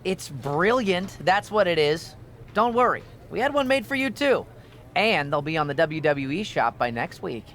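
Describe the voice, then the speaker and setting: Pushy, smug wrestling official. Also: female voice, lowish voice, very animated, a bit bright. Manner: brisk, glib, condescending. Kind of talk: video game cutscene acting.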